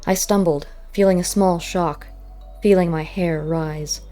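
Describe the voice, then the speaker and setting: Female audiobook narrator, lowish pitch, dark mellow tone, measured literary delivery, first-person storytelling, soft music underneath. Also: fairly even intonation.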